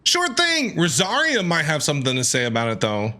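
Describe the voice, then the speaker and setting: A male game streamer gives casual, exclamatory reactions. His voice is bright and forceful, with pitch that jumps widely as he comments. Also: brisk. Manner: animated, exclamatory.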